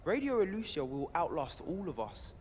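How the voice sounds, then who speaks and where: An earnest male rebel speaks in a high voice with measured intensity. The audio drama scene carries long reverb and faint noise, and he sounds wholly determined. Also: sing-song. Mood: quiet conviction.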